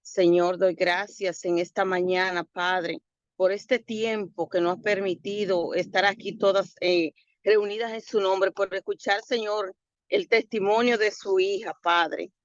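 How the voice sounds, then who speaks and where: A woman's voice: mid-range voice, fairly even intonation, measured pace, no echo. Woman prayer leader, fervent, dry lo-fi recording. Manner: fervent, repeated addresses to God. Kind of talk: spoken prayer.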